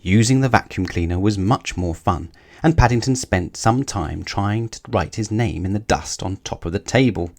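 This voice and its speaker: Male storybook narrator, fairly low register, scripted read-aloud for children. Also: moderate pace, darkish tone.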